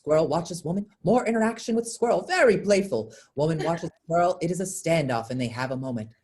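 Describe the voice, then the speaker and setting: A woman's voice: lowish voice, lively intonation, a little fast, a little mellow, slight background noise. A woman reading scripted stage directions as narration for a play.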